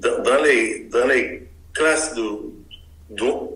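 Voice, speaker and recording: A man hosting an interview, asking measured, probing questions. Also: medium pitch, a bit bright, roomy sound, rough recording. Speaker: interviewer host.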